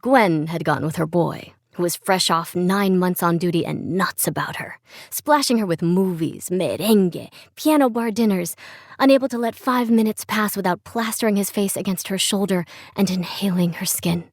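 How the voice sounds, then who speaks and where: A woman's voice: fairly low pitch, moderate pace, no room sound. Woman narrating fiction in a dry studio. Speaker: audiobook narrator. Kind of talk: scripted literary fiction narration.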